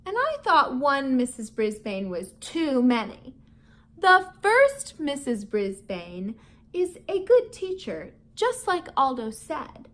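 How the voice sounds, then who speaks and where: A woman's voice: sits high, huge pitch swings, slow pace. A woman teacher playfully leading a vocabulary lesson and storybook read-aloud for schoolchildren, addressing her listeners directly. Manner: playful, addresses listeners with questions, chuckles often.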